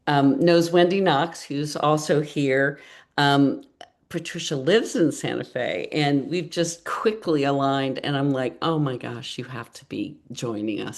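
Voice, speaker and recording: A woman hosting an online storytelling gathering, introducing each speaker in a rambling, enthusiastic way. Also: sits low. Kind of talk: warm introductions on a video call. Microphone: video call.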